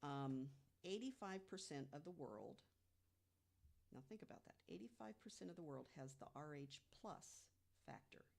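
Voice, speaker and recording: Woman, low register, podcast panelist. Deliberate conversational storyteller who leans on pauses and rhetorical questions. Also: expressive, creaky, a little mellow. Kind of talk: conversational storytelling about mysteries.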